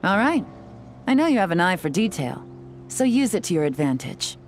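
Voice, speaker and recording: Low-voiced, cool woman investigator. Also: very animated, slight background noise. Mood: encouraging. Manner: measured, knowing, composed. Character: cool, self-assured female investigator, shrewd mentor. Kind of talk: video game voice acting.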